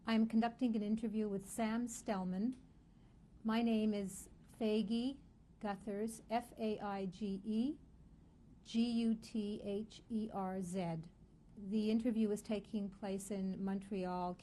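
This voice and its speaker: A woman conducting an oral history interview, asking measured, formal questions. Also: medium pitch, flat delivery, warm tone, slight background noise.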